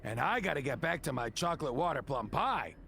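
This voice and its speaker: A man voicing a grumpy, gruff young alien engineer who loves food, grumbling in an animated game. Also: fairly high pitch, brisk, a little hiss or hum, heavily acted. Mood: grudging. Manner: refers to himself in third person, grumbling. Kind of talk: animated cartoon game acting.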